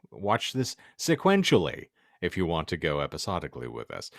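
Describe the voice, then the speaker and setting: Male podcast host, lowish voice, very lively intonation, wordy mock-exasperated banter, dry close sound. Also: no echo. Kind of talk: casual comedic podcast banter.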